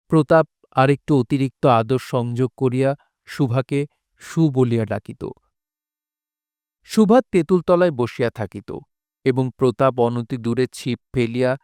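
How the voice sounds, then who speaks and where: A man's voice: medium pitch, darkish tone, dry recording. Man narrating literary fiction over faint music. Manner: measured, formal literary diction. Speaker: narrator of an audio story.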